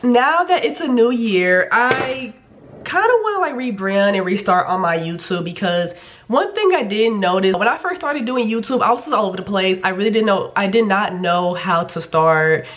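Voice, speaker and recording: A woman's voice: mid-range voice, expressive, moderate pace, a bit bright, lo-fi sound, a little hiss or hum. A woman in her twenties from Kansas City, Kansas, chatting candidly as a vlogger, a little nasal from a cold, with casual swearing and lots of fillers. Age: 20s. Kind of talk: casual get-to-know-me vlog.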